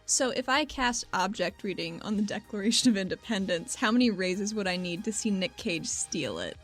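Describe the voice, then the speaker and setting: A woman's voice: mid-range voice, animated, moderate pace, faint music behind. A woman chatting casually as a podcast co-host.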